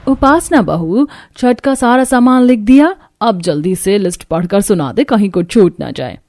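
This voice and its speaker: A woman's voice: mid-range voice, animated, moderate pace, darkish tone, no room sound, natural voice. A woman voicing a haughty rich mother-in-law in a cartoon, imperious and scolding. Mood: bossy.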